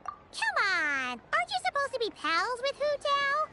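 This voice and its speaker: Tiny childlike fairy, extremely high-pitched, very animated, perky, boastful, excitable, video game companion. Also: female voice, normal speed, exaggerated voice. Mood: cheeky, persuasive. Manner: perky, boastful, refers to self in third person. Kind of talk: video game cutscene acting. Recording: music behind the voice. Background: background music.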